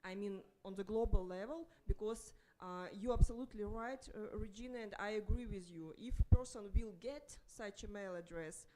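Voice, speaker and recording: A woman's voice: mid-range voice, moderate pace, hardly any pauses, mellow, slight background noise, poor audio. A woman speaking on a conference panel, hesitant and repeating words as she searches for phrasing. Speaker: panelist and moderator.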